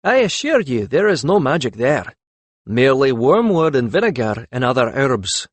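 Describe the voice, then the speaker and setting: A man's voice: medium pitch, very animated, moderate pace, no echo. A man voicing a sly foreign healer in an audiobook, mock-deferential and ingenuous, with a thickened foreign accent. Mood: mock-innocent. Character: sly male foreign healer, feigning innocence, quietly mischievous. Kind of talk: audiobook character voice.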